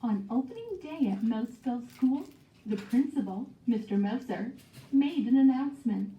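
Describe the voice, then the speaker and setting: A woman's voice: fairly high pitch, animated, moderate pace, slight background noise. A woman reading a children's picture book as scripted narration, heard played back in a classroom. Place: recorded read-aloud played back in a classroom. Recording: muffled classroom speaker playback, echoey, distant.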